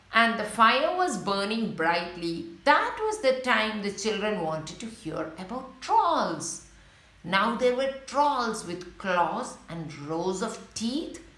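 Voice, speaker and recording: A woman's voice: fairly high pitch, a bit bright, roomy sound. A woman reading a picture book aloud to young children, telling the story and pointing out the pictures as she goes. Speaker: children's story reader and channel host.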